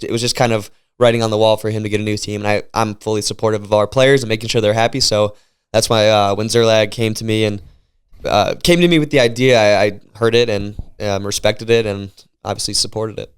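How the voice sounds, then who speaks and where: A man's voice: fairly low pitch, fairly even intonation, fast, smooth. A man talking as league commissioner in a casual podcast chat, congested from a cold, explaining things in an upbeat way.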